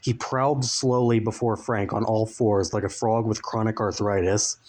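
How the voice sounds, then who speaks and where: A man narrating a comic story as a dramatic reading, with deliberate, mock-solemn phrasing. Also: on the low side, steady tone, darkish tone.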